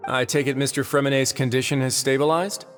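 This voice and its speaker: A man's voice: mid-range voice, steady tone, close to an everyday voice. A man voicing a suave, shrewd, self-assured authority figure, formal and wry, in a game dub. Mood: wry, courteous. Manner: formal, wry, unhurried phrasing. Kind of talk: English game dub acting. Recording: long hall reverb, music underneath.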